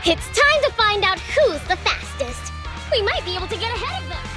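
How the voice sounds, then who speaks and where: A woman voicing a bubbly, excitable, affectionate young biker in a video game, gushing and playful. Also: very high-pitched, normal speed. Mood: excited. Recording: loud game music behind.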